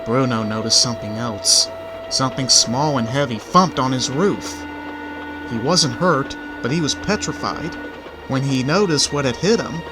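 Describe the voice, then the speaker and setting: A man's voice: mid-range voice, moderate pace, low-quality recording. A man narrating a scripted children's story in the third person. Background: background music.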